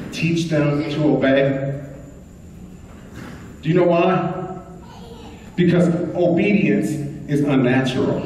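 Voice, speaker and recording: A middle-aged man preaching a lively sermon, repeating phrases and prompting his congregation. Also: fairly high pitch. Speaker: pastor. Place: large bright church hall indoors. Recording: echoey hall recorded from far off.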